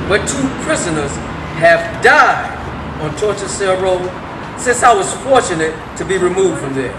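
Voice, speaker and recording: Man acting as anguished male prisoner, high voice, impassioned stage testimony, emphatic, noisy room. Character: anguished male prisoner facing life in isolation. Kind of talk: dramatic reading of testimony. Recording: echoey room with heavy background noise.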